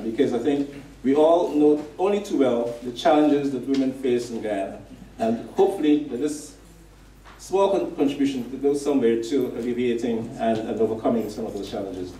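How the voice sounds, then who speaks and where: A middle-aged man giving a measured, formal speech at a stand microphone in a community hall, with some restarts. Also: mid-range voice, warm tone. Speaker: association representative presenting a donation. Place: decorated community hall, indoors. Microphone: stand mic. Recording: echoey hall, picked up from a distance.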